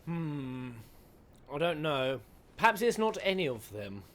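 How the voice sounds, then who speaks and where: Lively, high-voiced male game streamer. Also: unhurried. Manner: playful, sweary, theatrical. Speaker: streamer, gaming host. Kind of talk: casual reaction commentary on game cutscenes.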